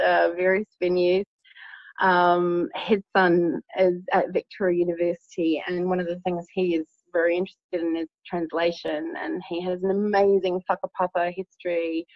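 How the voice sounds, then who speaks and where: A woman from New Zealand with a New Zealand accent, talking as an interview guest in a casual, hesitant way with many pauses and ums. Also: on the low side, dry recording, highs cut off. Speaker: campaigner guest.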